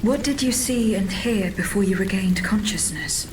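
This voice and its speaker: A woman's voice: mid-range voice, moderate pace, background noise, close to an everyday voice. Actress as cool, composed automaton bodyguard woman. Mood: cool, dutiful. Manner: calm, terse, formal. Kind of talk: dramatic video game cutscene acting.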